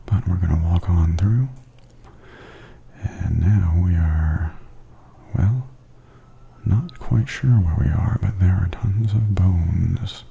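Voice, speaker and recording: Very dark, hushed male ASMR voice. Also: low voice, takes it slowly, long pauses, muffled recording, a little hiss or hum. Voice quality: hushed, breathy half-whisper. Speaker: ASMR host and tour guide. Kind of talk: softly spoken ASMR commentary. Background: theme park haunted attraction ambience.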